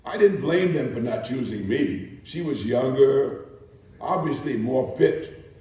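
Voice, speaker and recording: A man performing a weary working woman's solemn testimony in a slow, deliberate dramatic reading. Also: medium pitch, expressive, dark tone. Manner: slow, deliberate, theatrical pauses. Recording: very echoey room, distant microphone.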